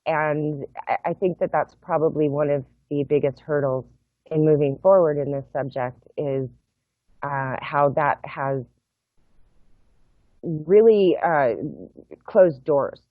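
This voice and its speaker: A middle-aged woman, a guest on a video call from home, explaining her project in a measured, thoughtful way with frequent pauses. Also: low-pitched, no room sound. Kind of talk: explaining in an interview. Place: home room. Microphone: laptop webcam mic on video call. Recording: tinny phone-like video call audio, dry.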